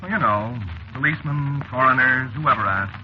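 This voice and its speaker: An actor playing a calm, polite stranger, genial and matter-of-fact about death, in an old-time radio drama. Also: male voice, medium pitch, moderate pace, natural voice. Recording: muffled, noisy vintage radio recording.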